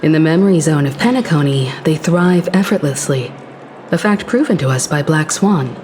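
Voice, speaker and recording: A woman voicing a calm, analytical investigator companion who speaks in a measured, composed way. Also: sits low, a little hiss or hum, close to an everyday voice. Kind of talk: game voice acting. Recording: game music behind.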